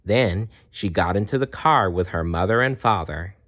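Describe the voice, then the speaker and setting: Low-pitched man reading a children's story. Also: animated, normal speed, a bit bright, muffled recording. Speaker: storybook reader. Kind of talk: children's read-aloud narration.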